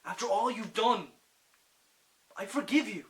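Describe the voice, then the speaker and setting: A young man playing an anguished, forgiving war-veteran doctor in an impassioned dramatic monologue that swings from quiet to loud. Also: sits high, measured pace, low-quality recording. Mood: bitter, forgiving.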